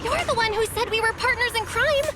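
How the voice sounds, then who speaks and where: A woman playing an earnest, feisty and loyal young woman, blunt and expressive, in video game acting. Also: very high voice, fairly quick, very noisy. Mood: indignant, urgent. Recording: music under the voice.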